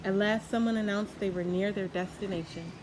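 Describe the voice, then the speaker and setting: A woman's voice: medium pitch, fairly even intonation, brisk. A woman narrating a children's story from a book as a storyteller. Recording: clearly noisy background.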